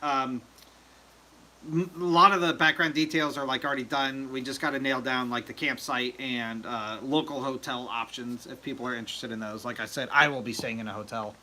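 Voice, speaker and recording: Bright-voiced man chatting on a livestream. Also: medium pitch, a little hiss or hum, low-quality recording. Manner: rambling, filler-heavy, joking. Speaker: livestream host. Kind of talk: casual livestream chat.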